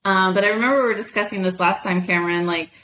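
A woman's voice: medium pitch, fairly even intonation, very fast, a bit bright, slight background noise, poor audio. A woman whose first language is Spanish, chatting as a guest in an interview with many fillers and easy laughter. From United States. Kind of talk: casual interview.

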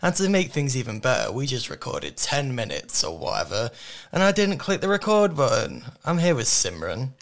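A young man hosting a casual radio chat show from a studio, his voice hoarse and worn, teasing and laughing often. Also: medium pitch, huge pitch swings, moderate pace. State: hoarse, lost voice. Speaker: radio host. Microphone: studio microphone.

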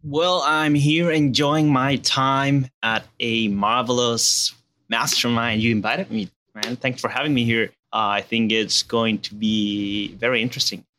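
A young man with a mixed Spanish and Venezuelan accent talks in an easy, chatty way. His voice is bright and forceful, sitting at a middle pitch, and he keeps calling people man. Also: unhurried. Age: 20s. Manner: laid-back, relaxed and chatty, calls people man. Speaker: guest. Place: outdoors.

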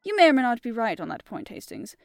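Formal fussy old detective played by a woman, deliberate delivery, lively pitch, dry recording. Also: creaky, no echo, faint music behind. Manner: deliberate and formal, attempting a French accent. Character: fussy, precise older male Belgian detective.

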